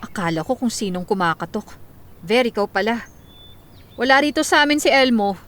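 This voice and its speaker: Woman acting a curt, high-pitched wife. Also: lively intonation, normal speed, a bit bright. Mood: wary. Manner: brusque, firm. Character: curt, suspicious wife turning away a customer. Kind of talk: radio drama acting.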